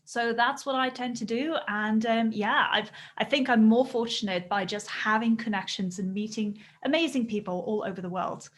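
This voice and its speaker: A woman's voice: fairly high pitch, normal speed, few pauses. A woman from South Africa whose first language is Afrikaans, speaking English with a South African accent as a business coach guest in an earnest, casual interview chat.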